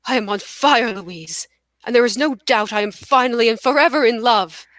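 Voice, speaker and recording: Woman playing a florid, high-voiced romantic male poet. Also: fairly bright tone, dry recording, lightly acted. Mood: rapturous. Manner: grandiose, dramatic pauses. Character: florid, self-absorbed romantic male poet wooing a wife. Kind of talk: play table read.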